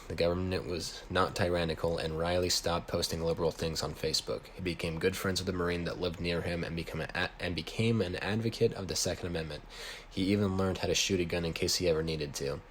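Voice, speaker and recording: An American man with a low voice reads a story he wrote. He works from a written text as an amateur storyteller. There is faint noise in the home recording. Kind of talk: reading own written story aloud.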